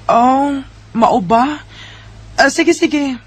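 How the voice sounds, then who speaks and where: A woman playing a soft, pleading, heartbroken young woman in a Bisaya radio drama, with a Filipino Bisaya accent. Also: sits high, drawn-out words. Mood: subdued.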